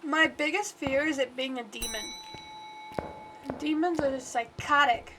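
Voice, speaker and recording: Congested high-voiced woman streamer. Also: unhurried, background noise. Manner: chatty and rambling, talks to the game aloud. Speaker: livestreamer. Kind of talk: casual gameplay commentary.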